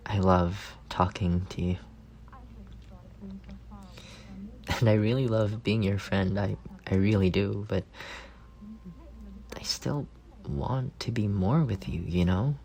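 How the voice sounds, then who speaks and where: A young man playing a shy, lovestruck roommate in an intimate ASMR roleplay, speaking tenderly and haltingly, often trailing into breathy murmurs. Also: fairly low pitch, a little mellow, low-quality recording. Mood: tender, shy longing. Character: shy, lovestruck young roommate confessing softly to a sleeping friend.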